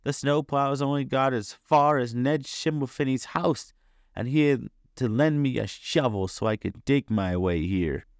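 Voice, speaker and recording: A man's voice: medium pitch, measured pace, dry recording, studio quality, voice pushed up, natural voice. A man voicing a kindly, hearty dog owner in a storybook, affectionate and full of exclamation. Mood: relieved, amused. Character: kindly, hearty male inventor, dog's owner.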